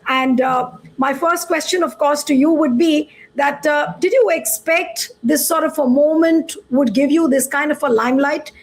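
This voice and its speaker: Host: woman, bright high register, formal news manner, pushes follow-ups. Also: normal speed, hardly any pauses, rough recording. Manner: formal, pressing follow-up questions. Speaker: show host. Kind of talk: news interview and programme intro.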